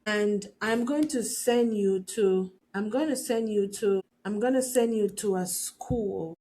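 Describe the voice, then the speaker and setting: Middle-aged woman, faint Jamaican lilt, warm low-key tone, earnest faith-podcast host who stumbles and repeats words as she thinks aloud. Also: measured pace. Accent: light Jamaican. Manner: earnest, repeats words while searching for them. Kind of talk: faith-based reflective monologue.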